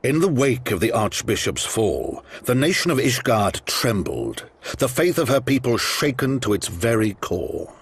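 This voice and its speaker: An actor playing a solemn chronicler narrating a fantasy game epic, grave and portentous. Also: male voice, medium pitch, very animated, a little slow, slightly rough, heavily acted. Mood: solemn, wistful. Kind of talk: scripted dramatic game narration.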